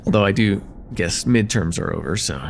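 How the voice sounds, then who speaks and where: A man's voice: fairly low pitch, normal speed, creaky, slight background noise. A young man playing a friendly, slightly nervous college student in a casual, stammering slice-of-life ASMR roleplay chat. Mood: casual, teasing. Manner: hesitant, stammering, self-deprecating, casual.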